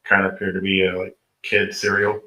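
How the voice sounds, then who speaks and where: A man's voice: deep voice, completely flat delivery, brisk, a crowd in the background. A man giving formal testimony as a witness, answering plainly and politely. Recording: echoey playback, distant mic.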